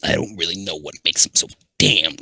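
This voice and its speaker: A man voicing a lovestruck young hedgehog hero, reading fan fiction aloud in an earnest, halting, wistful way. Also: low voice, gravelly, bright, forceful tone, husky, no echo, heavily acted. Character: lovestruck young male hedgehog hero narrating his secret crush.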